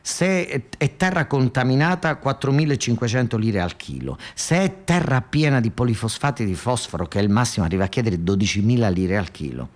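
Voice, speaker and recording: A man with a southern Italian accent performing a satirical monologue, colloquial and emphatic, with dramatic pauses. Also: mid-range voice, lively intonation. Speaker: actor-narrator. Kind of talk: satirical theatrical monologue, storytelling.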